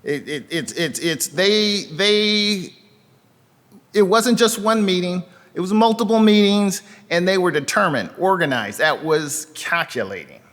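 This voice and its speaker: Male pastor, slightly high voice, conversational sermon delivery, lingering reverb of a church hall. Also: animated, a little slow, a bit bright. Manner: conversational, with asides and frequent fillers. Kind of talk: sermon with scripture reading. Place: church sanctuary.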